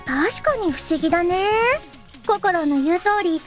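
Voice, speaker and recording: High, quick girlish anime voice. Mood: chatty, light. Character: girlish anime young women, cheerful, breezy, curious. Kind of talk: Japanese visual novel voice acting. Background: game music.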